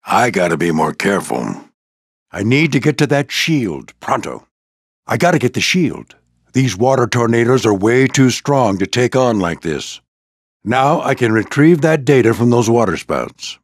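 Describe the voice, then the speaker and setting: An older American man voicing a proud, gruff old military plane who mentors a squadron in a video game, with a gravelly voice and a commanding, folksy manner. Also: medium pitch, sing-song, a little slow, no room sound, studio quality. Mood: determined, urgent. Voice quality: gravelly, husky. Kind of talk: scripted video game character lines.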